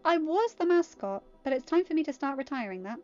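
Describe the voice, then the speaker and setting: Woman acting sweet teen girl, chatty, high voice, storybook character, lo-fi sound. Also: expressive, brisk, darkish tone, faint music behind. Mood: eager.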